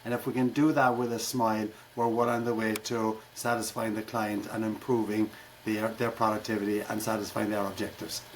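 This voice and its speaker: A man's voice: on the low side, little pitch movement, a little fast, slight background noise, low-quality recording. A middle-aged or older man with an Irish accent, a keynote speaker answering conversationally in an interview with wry, jokey turns of phrase. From Ireland.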